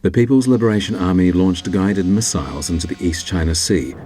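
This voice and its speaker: A man's voice: low voice, fairly quick, warm tone, soft background music. A man reading scripted documentary narration as the narrator.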